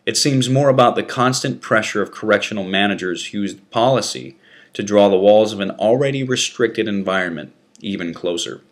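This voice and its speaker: A man with a low-pitched voice reads a first-person prison memoir aloud. His delivery is deliberate and dramatic, like a narrator working from a script. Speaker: narrator reading an article.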